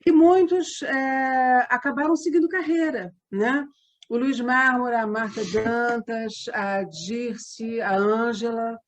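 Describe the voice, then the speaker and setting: A middle-aged woman talks in a slightly high voice with a Brazilian accent from São Paulo state. She chats informally as a guest in an interview. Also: steady tone, slow pace. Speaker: theatre company member, interview guest. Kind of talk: casual interview chat.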